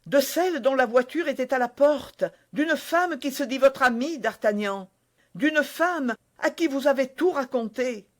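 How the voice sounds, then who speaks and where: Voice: sits high, measured pace, voice pushed up. A reader voicing a loving, frightened young woman who is dying of poison, speaking breathlessly and rising to cries. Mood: earnest. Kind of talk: audiobook character voice.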